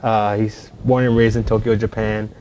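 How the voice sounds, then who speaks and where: A man's voice: lowish voice, flat delivery, moderate pace, darkish tone, slight background noise. A man from San Antonio, chatting as a guest on a podcast and rambling with many "you know" fillers. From San Antonio, Texas. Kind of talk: casual podcast chat.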